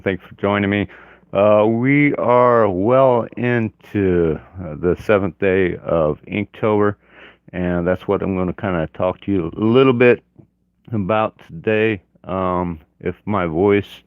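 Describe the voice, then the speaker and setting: A man hosting his art channel in a relaxed livestream chat from his home office, hoarse from a cold and talking haltingly with many fillers. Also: low voice, dry recording, phone-quality sound. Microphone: external mic on camera.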